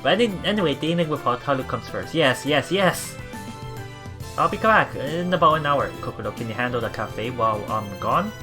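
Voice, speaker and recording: A man hosting a let's play, reading game text aloud and adding casual, halting commentary with repeated words and exclamations. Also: mid-range voice, lively intonation. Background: game music.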